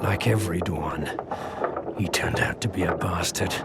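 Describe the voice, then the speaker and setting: A man's voice: low voice, moderate pace, heavy background noise. An actor playing a bitter, defiant elf rebel, weak and in pain as he lies dying, in a video game. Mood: pained, mournful. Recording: loud watery ambience behind the voice.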